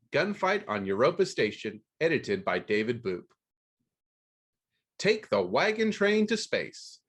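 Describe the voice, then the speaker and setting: A man hosting a podcast about books. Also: medium pitch. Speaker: podcast host. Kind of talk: scripted book news read aloud, then casual interview.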